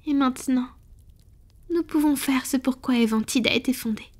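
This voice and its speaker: A woman voicing a centuries-old female vampire in an intimate scripted roleplay, soft, breathy and confiding, with measured delivery and whispered touches. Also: high voice. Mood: calm, proud reminiscing.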